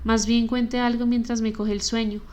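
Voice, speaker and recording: A man's voice: extremely high pitch, level tone, moderate pace, darkish tone. A woman voicing a wary young man who answers in short, guarded lines, with little rise and fall.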